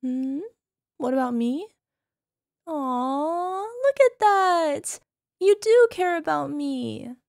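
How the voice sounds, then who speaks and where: High-pitched, sugary, possessive yandere wife acted by a woman. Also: expressive, extremely slow, gravelly, a little mellow, dry recording, clean studio sound, full character voice. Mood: delighted, doting. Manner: cooing, coaxing, sugary with controlling edge. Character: possessive, doting young yandere wife, sweetly menacing. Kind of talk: ASMR roleplay, speaking directly to listener.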